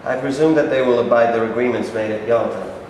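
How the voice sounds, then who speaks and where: A man's voice: medium pitch, steady tone, fairly quick, darkish tone, natural voice. A man in a staged reading playing a formal, resolute army general, deferential but emphatic. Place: live reading in a large echoing room. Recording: very echoey, noisy hall.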